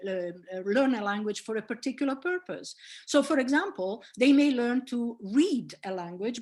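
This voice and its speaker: Woman giving a lecture. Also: fairly high pitch. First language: Italian. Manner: explanatory, with frequent fillers and restarts. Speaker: linguistics professor. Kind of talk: academic public lecture.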